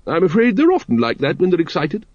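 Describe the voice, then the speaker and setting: A man's voice: high-pitched, wildly swooping pitch, quick pace, no echo, highs cut off, voice pushed up, full character voice. A man voicing a hearty, gushing middle-aged aristocratic lady with plummy upper-class manners for an audiobook. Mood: gracious, breezy.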